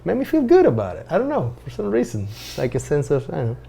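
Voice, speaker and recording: A man from Venezuela, a native Spanish speaker with a Venezuelan accent, chatting casually and telling anecdotes in halting, restarted phrases. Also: a little high, huge pitch swings, vocal fry, warm tone, breathy edge. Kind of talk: casual chat.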